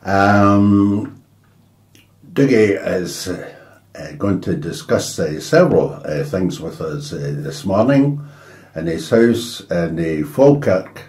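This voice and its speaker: Deep-voiced older Scottish interviewer. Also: male voice, sing-song, darkish tone. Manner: rambling, full of drawn-out "eh" fillers. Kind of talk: casual interview chat. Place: dining room in a private house. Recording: roomy echo in a home room.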